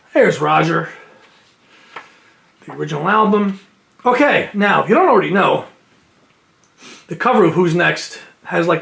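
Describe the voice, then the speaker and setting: A man's voice: on the high side, gravelly, slight background noise. A man casually chatting through an unboxing of a music box set, reading out liner notes with enthusiasm and sniffling from allergies. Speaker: music collector and video host. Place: indoors at home near his shelves.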